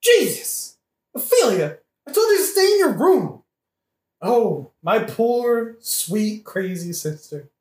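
A woman's voice: on the high side, very animated, slow, darkish tone. Woman acting a hot-headed, swooping young avenger Laertes. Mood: exasperated pity. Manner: brash, blustering, sweary. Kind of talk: satirical modern-language audio play acting. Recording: roomy, echoing audio-play recording.

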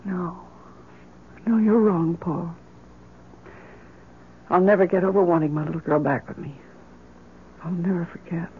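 A woman's voice: lowish voice, steady tone, a little slow, long pauses, a little hiss or hum, lightly acted. An actress playing a grief-stricken, inconsolable middle-aged mother in an impassioned, theatrical old-time radio drama style. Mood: sorrowful, stubborn. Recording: muffled vintage radio broadcast.

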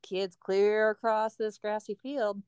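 A middle-aged woman co-hosting a casual chat podcast, an animated storyteller who acts out voices. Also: mid-range voice, slow pace, clear, resonant tone, no echo, clean studio sound. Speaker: podcast co-host and substitute teacher.

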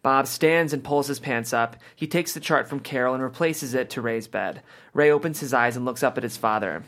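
A man's voice: medium pitch, normal speed, fairly bright tone. A man narrating scene directions from a screenplay at a table read, in scripted narration.

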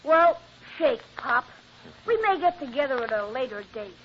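A man's voice: very high voice, animated, moderate pace. A male actor playing an earnest young son, polite and matter-of-fact, in an old radio comedy. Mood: earnest, resigned. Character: earnest young son, solemn and loyal to Mom. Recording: dull, noisy old radio recording.

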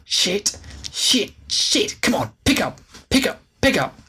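A frantic young female spy yelling for someone to pick up, voiced by a man reading aloud. The voice leaps far above his natural pitch and gets loud and strained. Husky and breathy throughout. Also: sing-song, slow, full character voice. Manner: frantic, urgent shouting.

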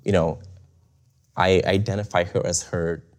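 A man's voice: sits low, flat delivery, unhurried. A young adult man who grew up in Bulgaria, talking as an interview guest in a reflective way, with many fillers and restarts and frequent chuckles. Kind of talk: casual conversational interview.

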